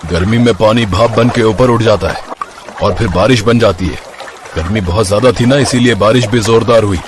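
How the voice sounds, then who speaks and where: Guy as caring middle-aged dad in a story, kinda low voice, humble and earnest. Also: moderate pace, noisy background, lightly acted. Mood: explaining. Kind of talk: dramatised moral story acting.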